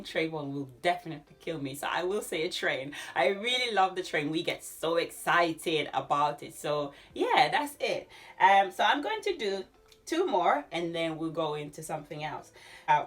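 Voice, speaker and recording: A woman in her thirties with a Jamaican accent, chatting casually to camera in an animated way as she answers questions. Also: fairly low pitch, a little slow. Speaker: YouTube vlogger. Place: small furnished room at home.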